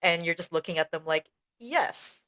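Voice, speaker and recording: A young woman chats as co-host of a teaching podcast, her voice sitting a little low. She tells stories with energy and slips into quick acted-out lines of dialogue. The tone stays relaxed and conversational. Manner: animated storytelling, acts out quoted dialogue. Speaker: podcast co-host, ESL educator. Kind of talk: casual chat.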